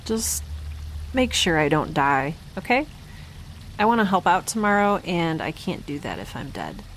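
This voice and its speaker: A woman's voice: on the low side, sing-song, a little slow, a bit bright, a little hiss or hum, rough recording. A woman playing a weary, rambling space scientist in an audio drama, with a hesitant, stammering, wry delivery. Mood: weary, dreamy. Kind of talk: naturalistic audio drama acting.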